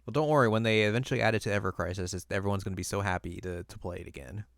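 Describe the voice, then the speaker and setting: A man's voice: fairly low pitch, steady tone, racing, creaky, no echo, clean studio sound. A man co-hosting a podcast in casual conversation. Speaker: podcast co-host. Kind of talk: casual chat.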